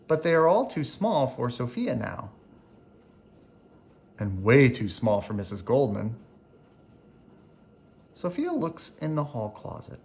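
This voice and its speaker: Expressive, slow-paced storyteller with lively pitch. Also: gravelly. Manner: deliberate, animated phrasing for children. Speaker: storyteller reading a picture book aloud. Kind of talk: expressive bedtime story read-aloud.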